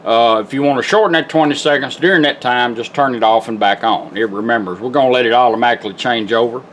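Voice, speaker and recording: A man's voice: medium pitch, fast, a bit bright, slight background noise. A man giving a casual, hands-on product demonstration, explaining things step by step in plain, informal words. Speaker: car radio restorer demonstrating a product.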